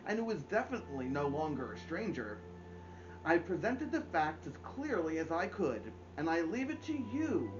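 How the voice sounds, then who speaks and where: A middle-aged man reading aloud to children as a story time host, with theatrical delivery and deliberate pauses. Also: sits high, muffled recording. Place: indoors, small home room.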